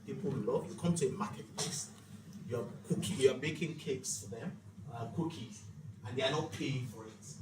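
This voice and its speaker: A man's voice: medium pitch, normal speed. In a reverberant room, a man leads a motivational session, picked up a little way from the mic with noticeable noise behind him. He teaches like a preacher, stressing his points and putting rhetorical questions to the group. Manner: preacherly, emphatic, uses rhetorical questions and acted-out dialogue. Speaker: speaker leading a financial literacy leadership session. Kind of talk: motivational faith-based teaching talk to a live group. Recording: echoey room, distant mic, clear background noise.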